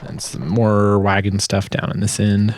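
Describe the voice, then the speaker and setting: A man's voice: lowish voice, monotone, measured pace, slight background noise. A man giving a casual, explanatory walkthrough as a sound designer presenting his work.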